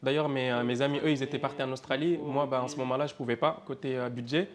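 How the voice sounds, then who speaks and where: A man's voice: mid-range voice, steady tone, quick pace. A man in his twenties from Senegal talks casually about starting a food business. He has a mid-range voice, and his speech is loose and conversational, full of little fillers. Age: 20s. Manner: casual, chatty, lots of fillers. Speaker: interviewee, cook and business owner. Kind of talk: documentary interview.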